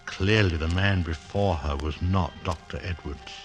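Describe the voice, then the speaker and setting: An actor as the formal narrator of a suspense tale, delivering scripted 1940s radio drama narration. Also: male voice, low-pitched, unhurried, faint music behind. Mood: grave, deliberate.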